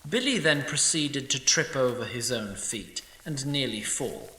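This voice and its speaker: A man's voice: medium pitch, expressive, a little slow, bright, forceful tone. A man voicing a snarky, timeless male sprite who narrates an audio drama with opinionated asides. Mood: wry. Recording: roomy echo.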